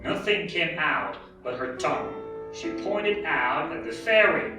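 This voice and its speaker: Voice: medium pitch, expressive, a little slow. A narrator reading a scripted fairy tale for readers theatre. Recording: very echoey room, distant, music behind.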